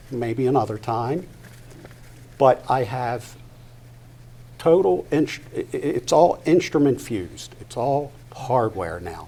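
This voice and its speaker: Older man speaking slowly, haltingly. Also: medium pitch, warm tone. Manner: halting, deliberate, with pauses mid-sentence. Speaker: guest speaker at a patient discussion event. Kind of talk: personal testimony to an audience. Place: live discussion event before an audience. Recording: noticeable background noise, live event.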